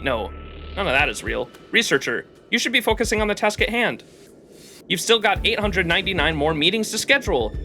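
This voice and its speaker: A man live-streaming a game, reading its text aloud in a dramatized way and adding commentary. His voice is bright and forceful and sits a bit high. Soft music plays underneath.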